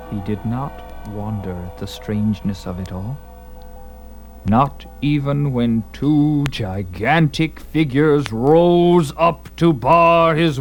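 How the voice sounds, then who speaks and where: A man narrating a dramatized story in an intense, theatrical and portentous manner. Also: mid-range voice. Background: prominent music.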